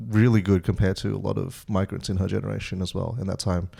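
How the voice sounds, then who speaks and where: A man's voice: sits low, flat delivery, quick pace, gravelly, dark tone. A young man, born in Myanmar, talking in a reflective, hesitant way as a podcast co-host. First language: Burmese. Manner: reflective, hesitant, many restarts. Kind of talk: casual chat.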